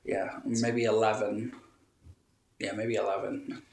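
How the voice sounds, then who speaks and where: A man talking over a phone call as an interview guest, halting with many filler sounds and restarts. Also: fairly low pitch, little pitch movement, a little mellow. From Canada. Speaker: guest, relocating client. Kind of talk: casual interview answers. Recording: roomy echo.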